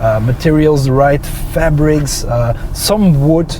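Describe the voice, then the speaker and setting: A man's voice: on the high side, takes it slowly, noisy background. Dutch-accented man reviewing a car. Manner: enthusiastic, opinionated, with frequent "uh" fillers.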